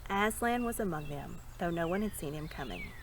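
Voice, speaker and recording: A woman reading a story aloud in an expressive narrating style. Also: lowish voice, normal speed, slight background noise. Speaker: storybook reader.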